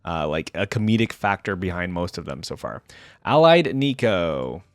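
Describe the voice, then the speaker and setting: A man's voice: low voice, very animated, normal speed, no room sound, studio quality. A man hosting a reaction video with informal, exclamatory casual commentary.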